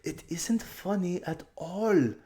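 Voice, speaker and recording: A man's voice: high-pitched, lively intonation, slow, mellow, pitched above the performer's own voice. A man voicing a serious, bossy young runaway noble girl in a storybook, speaking with anxious, insistent urgency. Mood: serious, exasperated.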